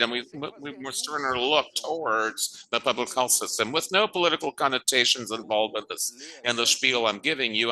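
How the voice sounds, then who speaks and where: A man interpreting a conference panel talk, halting often and restating phrases as he goes. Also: medium pitch, bright, forceful tone, low-quality recording.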